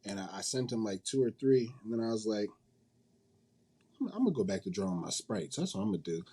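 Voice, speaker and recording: Male interview guest, lowish pitch and very mellow tone, casual, wandering, self-correcting delivery. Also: sing-song, quick pace, breathy edge. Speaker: interview guest, manga company head and creator.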